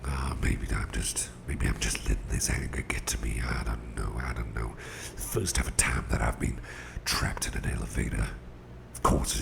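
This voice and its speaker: A man playing a gruff but tender, protective boss in an ASMR roleplay, murmuring soothingly in a gravelly, breathy half-whisper. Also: rumbling low, noisy background, full character voice. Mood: grumbling. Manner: soothing, unhurried, folksy, murmured. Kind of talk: ASMR roleplay, intimate personal attention.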